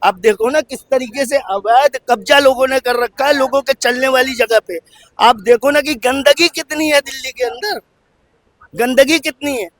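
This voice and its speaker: A man's voice: very high-pitched, normal speed, a bit bright, no echo, low-quality recording. A man in a heated street argument, insistent and repetitive, firing rhetorical questions. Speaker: member of the public arguing in a street interview. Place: outdoors on a city street in Delhi.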